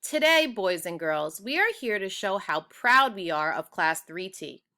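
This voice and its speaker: A woman's voice: mid-range voice, sing-song, a little slow, pushed and bright, exaggerated voice. A woman voicing a proud, formal female school official making a ceremonious, emphatic award speech in a storybook.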